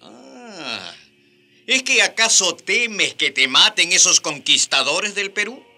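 An actor playing an imperious, wryly amused Spanish monarch in a radio drama, commanding and teasing. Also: male voice, high voice, big pitch swings, slow, sharp tone, exaggerated voice.

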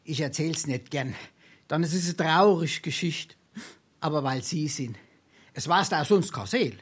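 A man's voice: fairly high pitch, lively intonation, measured pace, husky. A male actor with a Darmstadt Hessian accent playing a wily, boastful penniless scrounger in a dialect stage comedy, theatrical and full of dramatic hesitation. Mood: wistful, dramatic. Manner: theatrical, braggartly, hesitating for effect.

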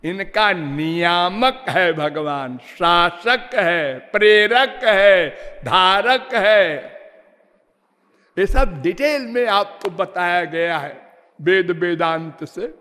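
A man delivers a devotional discourse at a very slow, deliberate pace, stretching words and sometimes lapsing into a sing-song chant. His voice sits fairly high for a man. The sound carries a long reverb, as if from a large hall. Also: expressive, sharp tone. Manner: slow measured preaching, drawn-out words, half-sung phrases. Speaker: spiritual teacher.

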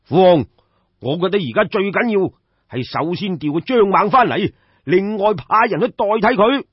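Man as high-pitched, hot-headed young Cantonese prince. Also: lively intonation, normal speed, breathy edge, no echo, muffled recording. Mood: earnest. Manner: urgent, insistent, pleading.

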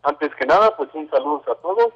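A man's voice: on the high side, lively intonation, fairly quick, big-room sound, dull audio. A man from Oaxaca whose first language is Mazatec, talking as a guest over the phone, hesitant with many fillers. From Oaxaca, Mexico. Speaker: guest, visual artist. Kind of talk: phone interview. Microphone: telephone.

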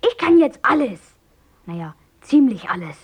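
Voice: mid-range voice, very animated, normal speed, natural voice. A young performer playing an eager, protective schoolboy in a radio play, earnest and insistent. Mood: upset, insistent. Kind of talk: German radio play acting.

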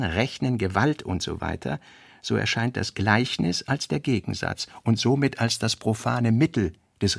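A man's voice: medium pitch, creaky, slightly rough, dry recording, clean studio sound. A man reading scripted literary notes and essays for a radio play.

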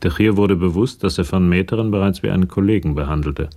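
A man's voice: low-pitched, fast, warm tone. A man narrating a police crime radio drama from a script. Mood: measured.

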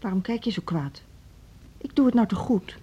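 An actress playing a measured, firm school director in her fifties in a radio drama, deliberate and diplomatic. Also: mid-range voice, animated, dark tone, slight background noise, close to an everyday voice. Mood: hurt, weary. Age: 50s. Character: measured, firm middle-aged female music school director. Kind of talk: Dutch radio drama acting.

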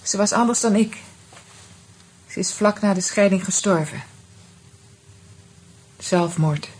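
An actress playing a bitter, independent divorced wife in a radio play, speaking in a measured, pointed way. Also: female voice, medium pitch, a little mellow, husky. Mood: grave, cool. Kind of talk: naturalistic radio drama dialogue.

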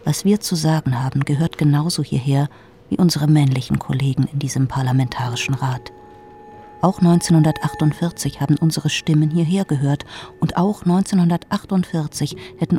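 Mellow, defiant female parliamentarian. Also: low-pitched, moderate pace, soft background music. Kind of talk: literary monologue in an audio drama.

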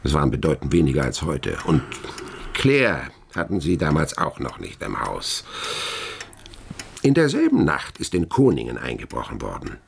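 An actor playing a shrewd, weary older police inspector in a German radio crime drama, gravelly, speaking in a dry, deliberate, lecturing way. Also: male voice, extremely low pitch, wildly swooping pitch, slight background noise, exaggerated voice. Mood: knowing, matter-of-fact.

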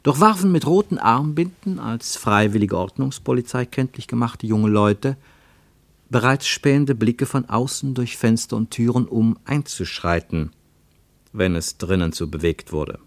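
A man narrating a radio drama travelogue in a measured, descriptive way, building long lists. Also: medium pitch, expressive, no echo.

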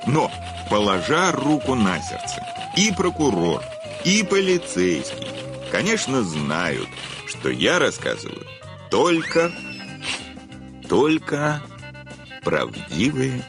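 An actor in a radio play as a menacing, self-satisfied famous writer, speaking in a mocking, theatrical way with hesitant hums and pauses. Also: male voice, medium pitch, wildly swooping pitch, vocal fry, over music, full character voice. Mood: sly, self-satisfied. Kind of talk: theatrical radio drama acting.

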